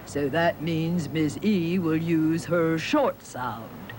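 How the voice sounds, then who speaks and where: A man's voice: on the high side, slow pace, background noise. A man playing a pompous, skeptical judge who ponders as he talks on a children's educational puppet show. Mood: pondering, self-assured. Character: pompous, skeptical male judge, hard to convince.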